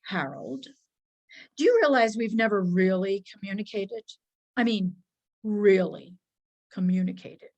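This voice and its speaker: A woman voicing an intense, self-important pet cat from a storybook, speaking in an emphatic, deliberate, pompous way. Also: medium pitch, darkish tone, dull audio. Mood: solemn, earnest.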